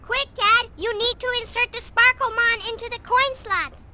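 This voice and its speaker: A woman voicing an earnest, bossy young schoolgirl in an animated comedy game. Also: very high-pitched, moderate pace, a little hiss or hum, full character voice. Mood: urgent.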